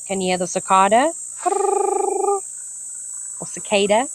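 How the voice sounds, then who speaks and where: A woman's voice: mid-range voice, a little slow, background noise. A woman with a South African accent hosting a live wildlife stream, chatting enthusiastically and talking to the animals on screen. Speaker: wildlife livestream host. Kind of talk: live nature commentary. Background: cicadas screeching.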